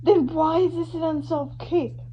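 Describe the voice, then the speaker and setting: A woman's voice: extremely high pitch, fairly even intonation, measured pace, pitched above the performer's own voice. A woman voicing a skeptical male true-crime co-host with a blunt, incredulous, questioning delivery. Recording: muffled lo-fi home recording with hum.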